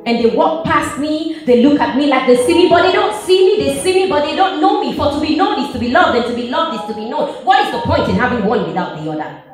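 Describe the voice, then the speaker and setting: Woman acting a sassy wounded outcast woman, dramatic stage monologue, very animated pitch, far from the mic in an echoey hall. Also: measured pace, faint music behind. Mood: pleading, yearning. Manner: theatrical, emphatic, swinging from hushed to loud. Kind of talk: dramatic spoken-word monologue performed live. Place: large echoey church hall, music behind.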